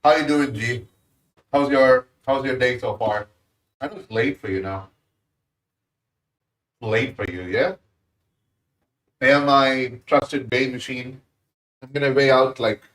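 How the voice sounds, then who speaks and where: A man's voice: mid-range voice, a little slow, long pauses, fairly bright tone. A man with an Indian accent, casually chatting with viewers while cooking on a live stream. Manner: easygoing, chats with viewers while working. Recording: roomy sound, mic at a distance.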